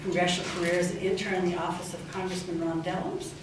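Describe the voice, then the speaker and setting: A woman's voice: on the low side, fairly even intonation, fast talker. A woman introducing a speaker at an event, reading with frequent "uh"s. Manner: reads with frequent fillers. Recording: echoey room, distant mic, loud noise.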